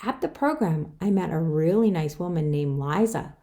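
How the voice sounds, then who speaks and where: A woman's voice: sits low, lively intonation, moderate pace, dark tone. A woman author reading her own children's book aloud, telling it in the first person. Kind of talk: scripted children's story read-aloud.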